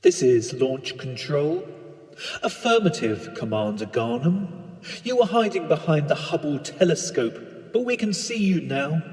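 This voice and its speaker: A man playing a blandly official, falsely reassuring launch controller, measured, repetitive and deadpan, in a comic spoken-word piece. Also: a little high, long reverb tail. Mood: calm, official. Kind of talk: comic spoken-word radio-style sketch.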